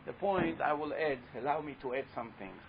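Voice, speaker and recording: A man with a loud voice giving a religious talk to a live audience, telling a story and putting questions to the listeners. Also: fairly high pitch, expressive, a little fast, gravelly. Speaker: religious teacher speaking to an audience. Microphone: handheld or stand microphone. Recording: dull lo-fi live recording.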